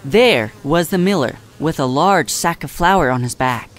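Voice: medium pitch, expressive, unhurried, fairly bright tone. A narrator reading a scripted storybook tale in an audio drama.

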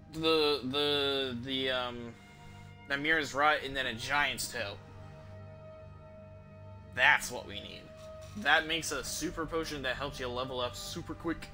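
Slow-talking man streaming a game. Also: mid-range voice, pushed and bright. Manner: sweary, rambling, halting. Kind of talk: casual livestream gameplay commentary. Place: small room at home, indoors. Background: game music.

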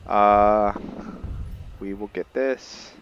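Low-voiced man, slow casual game commentary. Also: highs cut off, slight background noise. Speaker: gaming video host, player. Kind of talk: casual roleplay gameplay commentary. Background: game music.

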